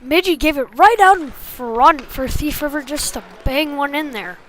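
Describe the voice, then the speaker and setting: A woman calling a youth hockey game live in short, clipped phrases, getting excitable on big plays. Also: high-pitched, moderate pace, slight background noise. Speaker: play-by-play hockey commentator. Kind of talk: live sports commentary.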